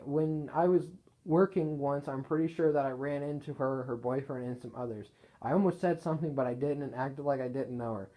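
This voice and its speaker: Guy reading his own writing out loud, kinda halting, medium pitch, casual. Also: poor audio. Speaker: storyteller. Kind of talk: reading own written story aloud, with casual banter.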